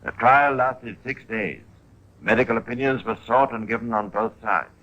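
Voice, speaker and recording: A man narrating a radio crime drama as host, in a measured, theatrical 1950s style with pointed emphasis. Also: medium pitch, sharp tone, muffled recording.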